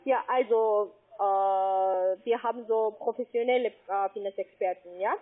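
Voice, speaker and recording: A woman from Spain with a Spanish accent, working as a call-centre agent and speaking broken German, who spells out letters slowly and patiently. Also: mid-range voice, steady tone. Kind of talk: phone sales call. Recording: tinny phone line.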